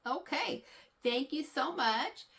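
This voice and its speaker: Woman, slightly high-pitched, chatty, patient explainer, a little congested, casual vlog talk. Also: animated, slow, creaky, a bit bright. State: somewhat congested from allergies. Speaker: knitting vlogger.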